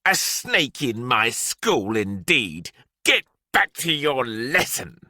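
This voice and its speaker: A man's voice: mid-range voice, very animated, slow pace, pushed and bright, no echo, clean studio sound, heavily acted. A man voicing a bossy, disgusted headmistress who snaps imperiously, for a children's audiobook. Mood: outraged, bossy. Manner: imperious, snapping. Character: bossy, disgusted, bellowing headmistress, a grown woman.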